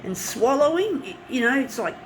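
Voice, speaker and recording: A woman, hoarse from a flu with a blocked nose, chatting and swearing freely as she works. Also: high-pitched, big pitch swings, moderate pace, noisy background. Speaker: artist. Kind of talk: casual talk while working.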